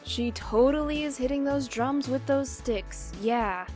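Woman playing very high-pitched, sarcastic teen girl. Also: a little slow, darkish tone, faint music behind. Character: blunt, sarcastic, excitable teenage girl bandmate. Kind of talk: comic dub voice acting.

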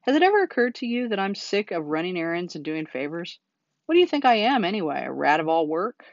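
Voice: medium pitch, big pitch swings, fast talker, no room sound, lo-fi sound. A reader voicing a self-pitying, sarcastic male rat from a children's storybook, complaining in a mocking, exaggerated way. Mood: boastful complaint. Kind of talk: children's storybook character reading.

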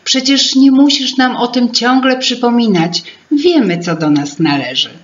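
A woman's voice: on the high side, lively intonation, measured pace, lo-fi sound, pitched above the performer's own voice. Woman as a chatty, placating storybook coffee-pot sister. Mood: pleading. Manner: placating, complaining softly. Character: gentle, chatty female fairy-tale coffee-pot sister.

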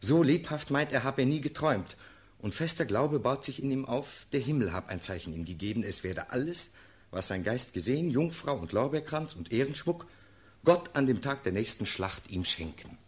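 An actor playing an earnest, steadfast officer addressing his sovereign in measured, declamatory verse in a classical radio play. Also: medium pitch, expressive, husky. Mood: earnest narrating.

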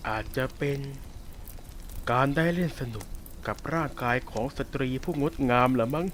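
A man voicing a sadistic, possessive werewolf who taunts a captive listener in a roleplay audio drama. He speaks softly and teasingly, with a menacing edge. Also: medium pitch, a little hiss or hum, lightly acted.

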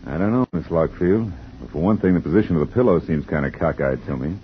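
A man's voice: very deep voice, expressive, fast talker, a little hiss or hum. An actor playing a wry, hard-boiled male private detective who narrates in a dry, laconic way, in a 1950s radio drama. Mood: sardonic. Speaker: narrator and lead. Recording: dull lo-fi 1950s radio broadcast with music.

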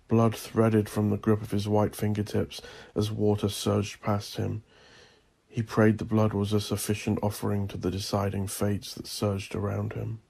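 A man narrating a horror story as an audiobook narrator, intense and theatrical, with a gravelly, husky voice. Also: lowish voice, completely flat delivery, normal speed, a little mellow. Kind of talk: dramatic scripted horror narration.

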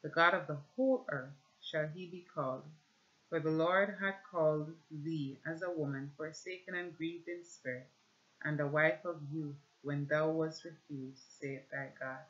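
A woman in her thirties with a Jamaican accent gives an earnest, measured religious testimony at home, talking to camera. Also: sits low, steady tone, fairly bright tone, muffled recording. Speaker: speaker giving a personal religious testimony. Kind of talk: personal testimony with scripture reading, talking to camera. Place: small indoor room at home with shelves.

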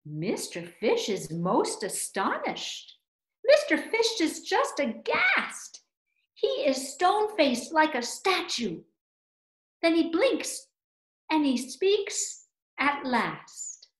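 Animated woman storyteller, slow and expressive. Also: high voice. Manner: animated, dramatic, emphatic phrasing. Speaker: storyteller and presenter. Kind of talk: expressive storytelling for young children. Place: room at home. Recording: roomy indoor echo.

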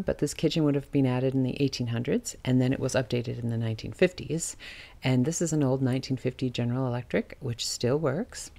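Lady showing round her old cottage, mellow low-key voice, chatty and rambling, laughs a bit. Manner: chatty, meandering, with small laughs and restarts. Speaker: homeowner giving a house tour. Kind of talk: casual narrated walk-through. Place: old wooden cottage, indoors.

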